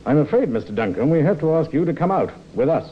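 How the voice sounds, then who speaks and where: An actor playing a polite but firm police inspector in a 1950s radio drama, formal and courteous. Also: male voice, on the high side, sing-song, fast, husky. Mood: firm, official. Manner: courteous, formal, understated authority. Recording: dull, faintly noisy radio recording.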